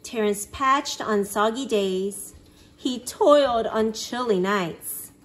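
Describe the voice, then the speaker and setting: A woman's voice: fairly high pitch, slow pace. A woman reading a children's picture book aloud expressively, acting out exclamations and sound effects. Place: living room at home.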